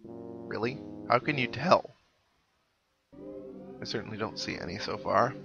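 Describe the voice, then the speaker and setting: A man's voice: medium pitch, unhurried, creaky, dull audio, over music. A man hosting a video game playthrough, giving casual commentary with wry, sarcastic asides. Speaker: gamer host of a let's play. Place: indoor recording with game music behind.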